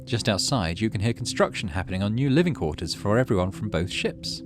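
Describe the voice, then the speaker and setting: A man's voice: on the low side, expressive, a little fast, noisy background. A man giving casual gaming commentary, reading game text aloud and muttering as he thinks. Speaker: let's play host.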